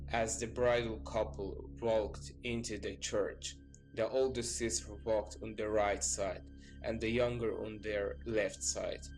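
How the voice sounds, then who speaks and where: A male narrator with a fairly low voice and lively, varied intonation. He reads a prepared script in a storytelling documentary style, with music playing faintly behind him. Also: poor audio. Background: background music.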